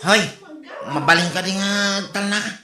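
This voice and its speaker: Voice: mid-range voice, moderate pace, a crowd in the background, lightly acted. An actor playing a boastful, quarrelsome drunken husband in an Ilocano comedy audio drama, blustering and exclaiming. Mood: indignant. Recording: echoey room.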